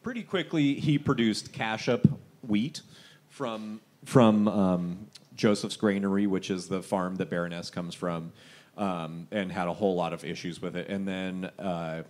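A man's voice: deep voice, unhurried, a little mellow. A man, a head brewer and guest on a stage panel, chatting casually with many fillers and restarts.

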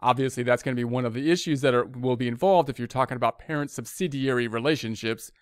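A man's voice: medium pitch, quick pace, clear, resonant tone, dry recording, studio quality. An American man giving an informal explanatory lecture as an instructor, talking conversationally and often rephrasing himself. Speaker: accounting instructor.

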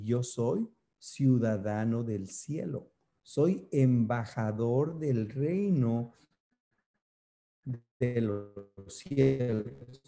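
A man's voice: mid-range voice, warm tone. A man in his fifties from Ecuador, preaching an earnest sermon to a webcam from an office, gesturing as he speaks. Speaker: pastor. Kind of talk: sermon over video. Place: office indoors. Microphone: webcam close up.

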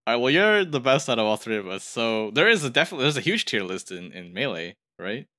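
A man's voice: medium pitch, very animated, fast, bright, forceful tone, no room sound, clean studio sound. A man hosting a gaming podcast, talking casually in a conversational discussion. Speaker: podcast host.

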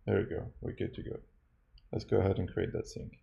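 A man narrating a step-by-step software tutorial as a demo presenter. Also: low-pitched, flat delivery, brisk, gravelly, highs cut off. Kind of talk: scripted tutorial narration over a screen recording.

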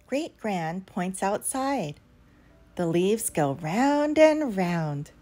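A woman's voice: mid-range voice, very animated, drawn-out words, mellow. A woman reading a picture book aloud expressively for young children, as a storyteller. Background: background music.

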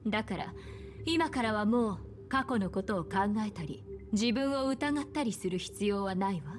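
A woman voicing a calm, enigmatic, seductive woman in an anime game, speaking slowly and soothingly with gentle command. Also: mid-range voice, lightly acted. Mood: calm, hypnotic. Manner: slow, deliberate, soothing. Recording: background music.

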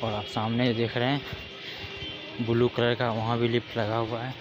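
A man's voice: mid-range voice, flat delivery, normal speed, dull audio. A man, narrating a casual travel vlog to viewers in an explanatory, repetitive way. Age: 20s. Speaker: travel vlogger. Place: outdoor railway platforms and footbridges. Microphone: phone at arm's length. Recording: loud music behind the voice.

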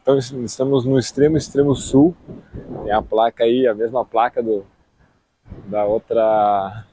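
A man's voice: medium pitch, fairly even intonation, unhurried, dark tone, husky, no echo, poor audio. A man in his thirties with a southern Brazilian accent, chatting casually and slangily to the camera outdoors as a travel vlogger. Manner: slangy, informal. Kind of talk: casual vlog chat. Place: outdoors on an open beach and dunes. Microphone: action camera at arm's length.

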